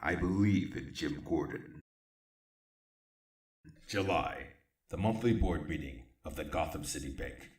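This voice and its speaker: A man's voice: a bass voice, a little slow, pauses often. A man playing a grim, driven vigilante crime-fighter in an audio drama, brooding and solemn in terse lines. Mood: solemn, resolute. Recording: roomy echo, distant, clear background noise.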